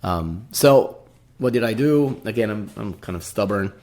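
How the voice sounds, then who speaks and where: A man's voice: fairly low pitch, lively intonation, unhurried, a little mellow. A man from Brazil with a Brazilian accent, hosting a casual solo podcast and rambling, often tagging his sentences with "right?". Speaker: podcast host.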